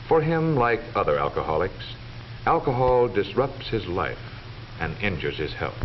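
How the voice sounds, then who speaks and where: Male narrator, American, deep and authoritative, for vintage instructional film; muffled period recording with faint noise. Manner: formal, measured, authoritative delivery. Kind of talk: 1940s scripted educational film narration.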